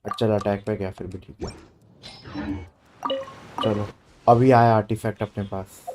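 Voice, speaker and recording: A man's voice: lowish voice, fairly even intonation, rapid-fire. A man streaming a game, talking in casual, offhand commentary and muttering to himself. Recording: game music under the voice.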